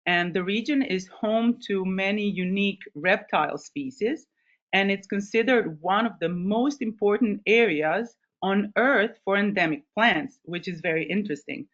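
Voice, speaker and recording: A woman's voice: medium pitch, normal speed, fairly bright tone. Hesitant woman presenting a webinar. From Croatia. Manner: hesitant, many ums and restarts. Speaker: expedition leader presenting a webinar. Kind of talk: informal presentation. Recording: slightly dull, lo-fi webinar audio.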